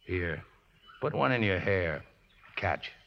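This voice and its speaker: An actor playing a scrappy, good-hearted riverboat skipper with folksy, ungrammatical speech in a 1950s radio drama. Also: male voice, low voice, animated, slow pace, gravelly, exaggerated voice. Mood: gentle, weary. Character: scrappy, rough-mannered male riverboat skipper, plain-spoken, good-hearted. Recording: muffled, noisy, reverberant old radio recording.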